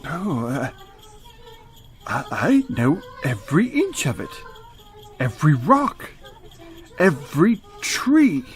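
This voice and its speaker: A man playing a frightened, remorseful elderly miser in a radio drama, stammering and halting with theatrical emotion. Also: a little high, wildly swooping pitch, soft background music, heavily acted. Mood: bewildered.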